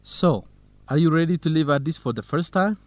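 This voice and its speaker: An actor playing a helpful, knowledgeable young researcher, explaining things in simple sentences in an educational radio drama. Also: male voice, on the high side, expressive, fairly quick, faint music behind, close to an everyday voice. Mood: informative.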